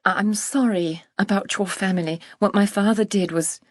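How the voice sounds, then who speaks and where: A woman's voice: medium pitch, fairly even intonation, normal speed, no room sound, natural voice. Woman acting an earnest young noblewoman, dry sound. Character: devoted, earnest young noblewoman, sister of the exile. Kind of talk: video game character acting.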